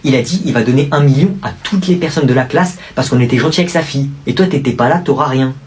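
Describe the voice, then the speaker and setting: A young man acting as a schoolboy in a comedy skit, boasting in fast slangy talk. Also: medium pitch, a little mellow. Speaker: skit actor.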